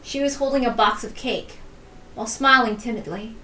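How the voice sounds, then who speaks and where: A woman's voice: a little high, moderate pace, bright, forceful tone. A woman reading a story aloud on a stream, casual and a little self-conscious, recorded in a room. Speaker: reader and streamer. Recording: roomy, distant mic with faint noise.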